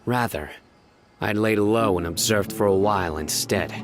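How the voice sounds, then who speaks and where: An actor playing a stoic, intimidating investigator in a video game, terse and controlled. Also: male voice, fairly low pitch, normal speed, music in the background, natural voice. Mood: composed.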